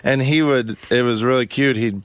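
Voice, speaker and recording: A man from rural America with an average-pitched voice chats on a radio show. His delivery is folksy and plain-spoken, and the studio sound is dry and close. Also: fairly even intonation, normal speed, no room sound. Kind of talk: casual radio banter.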